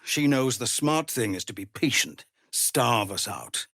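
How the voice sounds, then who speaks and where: A male actor voicing a weary, earnest refugee leader and fallen warrior in a fantasy video game, with a gravelly, husky voice and a measured, formal, grave delivery. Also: medium pitch, lively intonation, a bit bright, no echo, studio quality. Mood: grave, resolute. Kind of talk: dramatic fantasy game dialogue.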